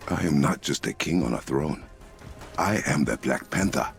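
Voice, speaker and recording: An actor playing a proud warrior king in a video game, speaking formally and with command in a gravelly voice. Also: lively intonation, moderate pace, heavily acted. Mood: proud, firm. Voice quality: deep, gravelly. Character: proud, regal warrior king and hero of an African nation. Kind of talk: cinematic video game acting. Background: faint music.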